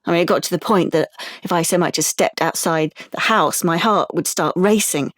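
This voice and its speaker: A young woman played by an actress in an audio drama, anxious and wounded. She confides in a halting, stumbling way, guarded about herself. The sound is close and dry, with no room around her. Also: on the low side, dry recording, lightly acted. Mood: bleak, confiding. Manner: halting, confiding, with stammered restarts. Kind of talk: audio drama monologue and dialogue.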